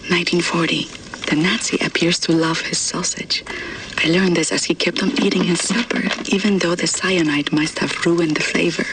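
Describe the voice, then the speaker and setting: A woman voicing a grave young diary reader in a video game audio log, soft, restrained and matter-of-fact. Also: lowish voice, far from the mic, a little hiss or hum, lightly acted, poor audio.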